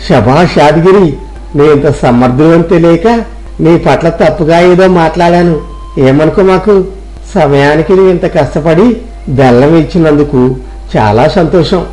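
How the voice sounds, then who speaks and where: A man's voice: a little high, normal speed, warm tone, close to an everyday voice, low-quality recording. A man voicing a blunt village customer in a moral story. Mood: grateful. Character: demanding male village customer, blunt but grateful.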